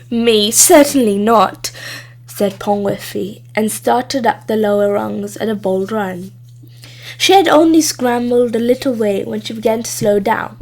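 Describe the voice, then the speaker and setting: A woman reading a children's story aloud in expressive narration. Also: on the high side, unhurried, vocal fry. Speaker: storybook reader.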